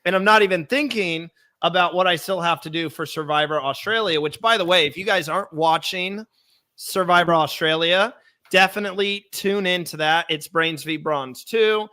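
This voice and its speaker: A hoarse young man hosts a live podcast in a bright, fairly high voice. He stays chatty and upbeat and reads viewer comments aloud. The tone is forceful even while his voice is still worn from illness. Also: moderate pace. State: hoarse, recovering lost voice. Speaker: podcast host.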